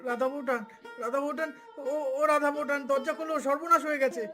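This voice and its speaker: A man's voice: very high voice, steady tone, fast, music in the background. A man voicing an alarmed village neighbour in a cartoon melodrama, urgent and repetitive. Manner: urgent, repeats words. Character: alarmed male village neighbour bearing bad news.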